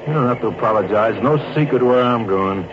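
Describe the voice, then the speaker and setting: An actor playing an earnest young wounded Navy flyer, plain-spoken and halting, in 1940s radio drama style. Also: male voice, mid-range voice, lightly acted. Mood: glum, resigned. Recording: noisy muffled old radio recording.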